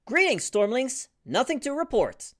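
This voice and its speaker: Woman doing a high-pitched chipper gatekeeper guard. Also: big pitch swings, measured pace. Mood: chipper, dutiful. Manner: bright salute-like greeting, formulaic reporting. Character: chipper, dutiful gatekeeper guard greeting passers-by, video game style.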